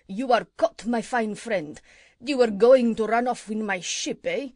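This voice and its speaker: A woman voicing a boastful, bullying male pirate chief who taunts and shouts in a storybook reading. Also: medium pitch, no echo, studio quality, natural voice. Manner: loud, gloating, taunting.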